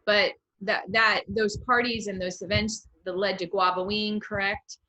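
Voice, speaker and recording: A woman in her forties with a mid-pitched voice hosts an informal interview. She introduces and questions her guest in an everyday conversational style. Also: fairly even intonation, unhurried, bright, forceful tone, highs cut off. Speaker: host, interviewer. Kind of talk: casual interview hosting.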